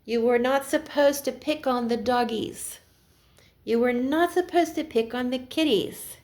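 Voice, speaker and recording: A woman voicing Santa Claus as a stern old man scolding naughty elves in a slow, emphatic way. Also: high-pitched, darkish tone.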